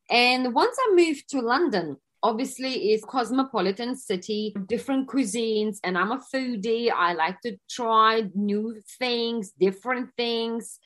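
A woman's voice: fairly high pitch, a bit bright. Lithuanian-accented woman, earnest guest. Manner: halting non-native grammar, earnest. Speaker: guest, wellness coach. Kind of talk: casual interview answers.

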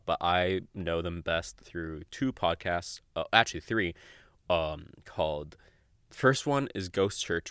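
Male podcast host, low-pitched and dry-recorded. Casual, rambling, giggly and a bit self-conscious. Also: pushed and bright, smooth, dry recording.

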